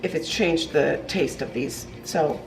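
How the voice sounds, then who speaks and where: A woman's voice: deep voice, a little slow, heavy background noise. Congested woman rambling in her kitchen. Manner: rambling, with many ums and restarts. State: congested, nasal from a cold. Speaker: vlogger. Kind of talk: casual chatty cooking vlog. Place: home kitchen. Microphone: camera propped on the counter.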